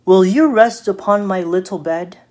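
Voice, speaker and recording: A woman's voice: medium pitch, normal speed, darkish tone, voice pushed up, lightly acted. Woman voicing a sly, honeyed male storybook spider. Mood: coaxing. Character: sly flattering male spider, coaxing and cunning. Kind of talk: children's storybook read aloud.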